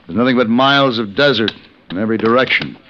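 An actor playing a middle-aged, courteous but hard gunman in a 1950s radio western, speaking in a measured, terse way. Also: medium pitch, animated, background noise, lightly acted. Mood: stern, restrained. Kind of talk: 1950s radio western acting and first-person narration. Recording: dull vintage radio recording with music.

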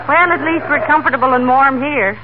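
Female actor: dry, teasing wife, 1940s radio comedy, loud static, telephone-thin sound. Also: sits high, fairly quick, close to an everyday voice. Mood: homey, teasing. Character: dry, teasing, good-humored housewife. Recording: very noisy, tinny 1940s radio recording.

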